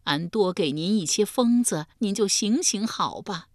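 Brief: woman performing a desperate old peasant woman, shrill and loud in her storybook lament, pitch swinging wide. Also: lively intonation, a little slow, no echo, studio quality, lightly acted. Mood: pleading. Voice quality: high wailing crying voice. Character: wailing distraught old village woman.

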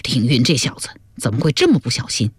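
A stern middle-aged king played by a man in a historical audiobook drama. His voice is husky and swings widely as he persuades and commands like a scheming father, recorded close and dry. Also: mid-range voice, sing-song, moderate pace, no room sound. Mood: scolding.

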